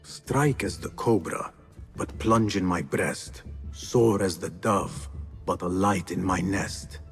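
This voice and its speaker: An actor playing an earnest, driven young assassin apprentice in a video game, speaking with intense solemnity. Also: male voice, deep voice, slow, soft background music. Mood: wary, brooding. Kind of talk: dramatic scripted dialogue.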